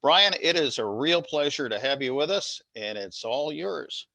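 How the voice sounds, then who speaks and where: A man hosting a seminar and introducing the speaker, with a few pauses and fillers. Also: fairly high pitch, lively intonation, vocal fry, pushed and bright, no echo. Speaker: seminar host. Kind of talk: formal introduction.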